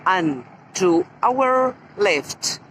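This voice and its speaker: Tour guide: Argentine Spanish accent, woman, unhurried, explanatory, outdoor street noise. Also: medium pitch, expressive. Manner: slow, explanatory, points out sights. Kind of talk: virtual walking tour. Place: outdoors on city streets and a tram. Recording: loud street and traffic noise.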